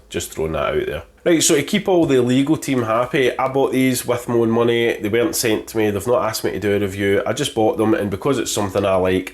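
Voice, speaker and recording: A man, stuffy with a cold, giving a cheeky, jokey golf gear review from his kitchen. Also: on the low side. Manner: cheeky, jokey, full of innuendo. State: congested with a cold. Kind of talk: casual product review to camera. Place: home kitchen.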